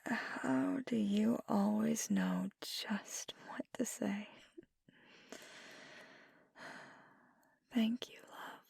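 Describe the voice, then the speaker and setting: A low, gravelly, breathy woman acting as a young werewolf girlfriend in an ASMR roleplay. She speaks softly and unhurriedly, often slipping toward a whisper, earnest and hesitant as she confides. The sound is very dry and close. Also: steady tone, slow pace, no echo, full character voice. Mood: tender, grateful. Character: fiery young adult werewolf girlfriend, earnest, torn, tender. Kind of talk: intimate ASMR roleplay, soft half-whispered close speech.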